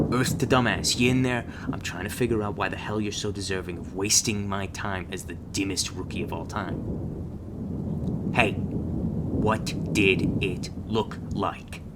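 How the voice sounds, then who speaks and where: A man playing a gruff, emotionally distant veteran monster-hunting agent in an ASMR roleplay, speaking in a blunt, halting, sardonic way. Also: lightly acted. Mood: exasperated, mocking. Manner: blunt, halting, sardonic, sighing between thoughts. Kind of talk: ASMR roleplay, intimate one-sided dialogue. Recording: noisy, rumbling thunderstorm-like background sound.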